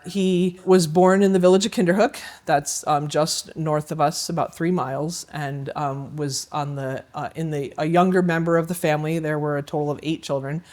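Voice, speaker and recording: A man guiding a tour of a historic house, explaining slowly with many fillers and restarts. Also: on the high side, fairly even intonation, a little mellow. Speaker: historic site guide. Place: indoors in a historic house, dining room.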